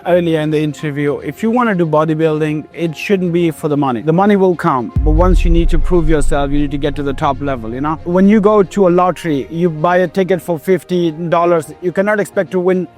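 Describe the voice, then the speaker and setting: A man's voice: on the high side, brisk, few pauses, a little mellow. A man from Afghanistan giving casual, motivational interview answers as a pro athlete, conversational and often adding "you know". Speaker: professional bodybuilder being interviewed. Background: music.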